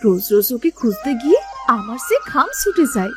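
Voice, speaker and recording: Woman as a high-voiced, caring elder sister. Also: very animated, fairly quick, over music. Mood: worried, calling out.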